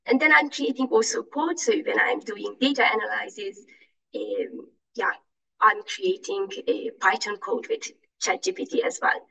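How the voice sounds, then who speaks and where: A woman's voice: medium pitch, expressive, moderate pace, vocal fry, sharp tone, distant mic, low-quality recording. A woman giving a conference talk over slides on a video call, hesitant, with many fillers and restarts; German is not her first language. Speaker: conference presenter.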